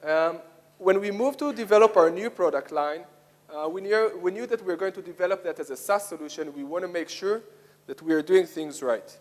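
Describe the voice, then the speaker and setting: A man with an Israeli accent giving a conference presentation, speaking haltingly with frequent hesitations and repeated phrases. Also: high voice. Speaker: conference speaker, software product lead. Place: conference hall, speaking to an audience. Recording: echoey hall with long reverb.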